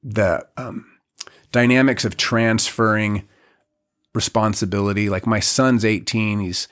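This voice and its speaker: A man in his forties, a guest on a podcast, talking in a reflective, halting way with many restarts. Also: fairly low pitch, steady tone, a bit bright. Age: 40s. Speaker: podcast guest, community leader. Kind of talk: casual podcast conversation.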